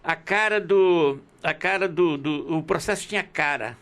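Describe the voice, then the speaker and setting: A man's voice: a little high, measured pace, fairly bright tone. A man in his seventies with a Brazilian accent, hosting a radio interview in a conversational, opinionated way. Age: 70s. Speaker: radio host.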